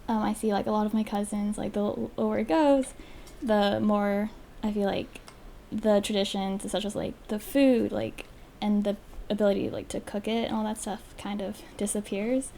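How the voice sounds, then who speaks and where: A woman's voice: mid-range voice, fairly even intonation, normal speed, creaky, warm tone, a little hiss or hum. A young woman speaking in a casual podcast discussion, hesitant and full of ums and uhs. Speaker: podcast panelist.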